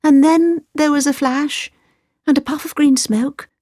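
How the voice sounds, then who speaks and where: A woman's voice: high voice, fairly even intonation, unhurried, darkish tone, dry recording, clean studio sound, pitched above the performer's own voice. A woman voicing a frightened young royal princess telling her father what happened, in a storybook reading.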